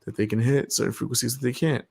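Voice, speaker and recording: A man's voice: mid-range voice, fairly even intonation, fast talker, creaky, a little mellow, breathy edge. A man in casual chat about home recording, laid-back and slangy, swearing freely. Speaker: streamer.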